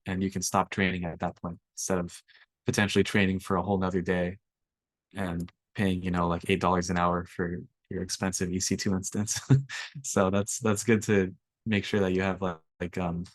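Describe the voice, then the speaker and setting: Low flat-voiced man teaching code. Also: normal speed, no echo. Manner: relaxed, filler-heavy explaining. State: slightly raspy from allergies. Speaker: workshop instructor. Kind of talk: screen-share coding tutorial.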